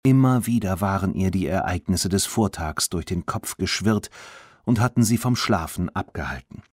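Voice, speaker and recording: A man narrating a children's audiobook in an expressive storytelling manner. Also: sits low, normal speed, husky, no room sound, clean studio sound.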